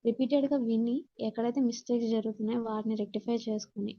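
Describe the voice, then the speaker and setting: Female Telugu-accented instructor, lightly high and very relaxed tone, point-by-point lesson delivery with repeated explanation. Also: rapid-fire, highs cut off. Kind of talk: slide-based lesson, explaining in Telugu and English.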